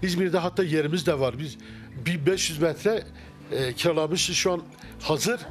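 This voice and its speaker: Hesitant middle-aged man, slightly high voice. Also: moderate pace, soft background music. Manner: hesitant, many fillers and restarts. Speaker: restaurant owner. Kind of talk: casual interview. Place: wood-paneled restaurant interior. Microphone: handheld mic held by interviewer.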